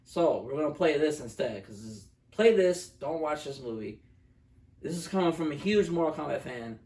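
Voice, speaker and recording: A man's voice: a little high, lively intonation, normal speed, darkish tone. Blunt man, distant in a roomy space. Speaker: co-host of a film review show. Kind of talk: casual chat, film review. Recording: roomy echo, distant mic.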